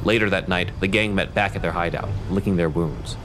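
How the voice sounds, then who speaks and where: A man with a low voice narrates an audio drama in a scripted storytelling style. Music plays clearly underneath him. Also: a little fast.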